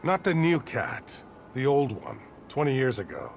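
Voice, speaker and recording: An actor playing a plainspoken, weary older American police detective close to retirement in a video game. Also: male voice, medium pitch, big pitch swings, measured pace, gravelly, slight background noise. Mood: businesslike. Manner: plainspoken, matter-of-fact.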